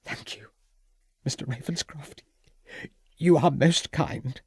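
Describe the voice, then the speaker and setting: A man voicing a distressed, polite widow hostess in a period mystery audiobook, raising his voice high. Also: takes it slowly, a little mellow, husky, no room sound, clean studio sound, heavily acted. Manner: shaken, polite. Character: distressed genteel widow, middle-aged hostess.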